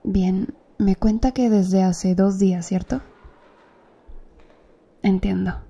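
A woman acting as a calm, attentive female doctor in an ASMR roleplay, speaking softly and close to the listener. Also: mid-range voice, takes it slowly, vocal fry, breathy edge, heavily acted. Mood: gentle. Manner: soft, close-up ASMR speech, gently addressing the listener.

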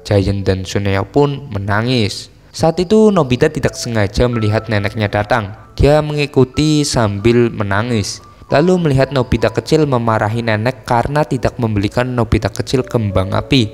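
An Indonesian man narrates a story from a script in a casual, colloquial way, slipping into teasing asides. His voice sits at an average pitch. It rings with long reverb, with music playing underneath. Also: normal speed, a little mellow. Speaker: film recap narrator.

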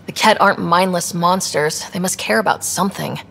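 A woman's voice: fairly low pitch, brisk, gravelly, fairly bright tone, a little hiss or hum. A woman voicing a young space explorer in a video game, earnest, direct and full of probing questions. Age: young adult. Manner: direct, inquisitive. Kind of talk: video game dialogue acting.

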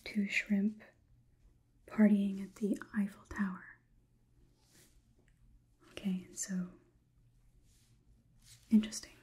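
A young woman whispering an ASMR roleplay close to the mic as a coolly logical robot overlord, in a halting, clinical, deliberate way. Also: medium pitch, little pitch movement, dark tone. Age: young adult. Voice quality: breathy, unvoiced whisper. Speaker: ASMR performer. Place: small indoor room. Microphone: close microphone.

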